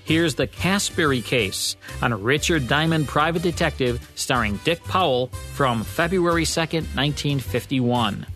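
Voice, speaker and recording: A man's voice: fairly high pitch, lively intonation, a little slow, hardly any pauses, a bit bright. A man hosting a radio program, reading scripted narration to introduce a classic show. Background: faint music.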